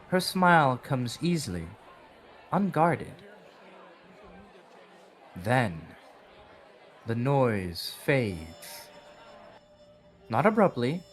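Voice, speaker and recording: Slow, dramatic male narrator over music. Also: medium pitch. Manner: measured, dramatic storytelling delivery. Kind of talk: scripted narration for a horror audio drama. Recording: background music under the voice.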